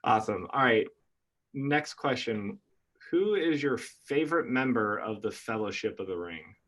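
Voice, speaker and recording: A man's voice: medium pitch, animated, measured pace, a bit bright. Chatty, enthusiastic male interview host. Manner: chatty, enthusiastic, many restarts and fillers. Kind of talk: casual video-call interview.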